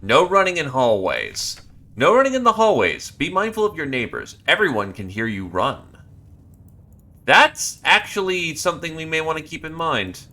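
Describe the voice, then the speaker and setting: A man streaming a horror game live, reading on-screen text aloud and breaking into loud, excited outbursts. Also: fairly high pitch, moderate pace. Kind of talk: live gameplay commentary.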